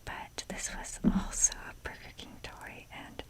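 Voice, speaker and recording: Whispering woman, slow and rambling. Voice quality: soft breathy whisper. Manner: rambling, hesitant, self-deprecating humour. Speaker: ASMR video creator showing a toy collection. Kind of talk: ASMR, casual rambling show-and-tell.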